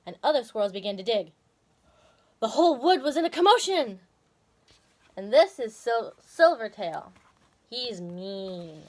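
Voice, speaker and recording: A woman's voice: a little high, sing-song, measured pace, pauses often, darkish tone. A woman hosting and reading a children's story expressively, with casual asides to viewers. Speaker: storyteller and video host.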